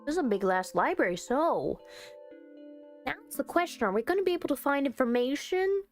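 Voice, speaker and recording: High-pitched, animated woman gamer. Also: brisk, soft background music. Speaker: game streamer. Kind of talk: casual visual novel playthrough commentary, reading text aloud.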